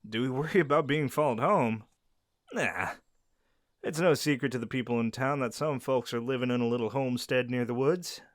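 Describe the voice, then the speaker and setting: An actor playing a friendly young male werewolf who shows a silent listener around his pack's home, easygoing and quick to laugh. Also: medium pitch, no room sound, lightly acted. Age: young adult. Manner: easygoing, laughs often, answers unheard questions. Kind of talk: audio roleplay to a silent listener. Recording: dry close recording.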